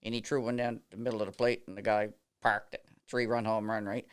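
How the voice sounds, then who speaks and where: A man's voice: on the low side, brisk, clear, resonant tone. A man, an umpire telling stories in a casual podcast chat. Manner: animated storyteller, laughs through anecdotes, many fillers. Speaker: baseball umpire, podcast guest.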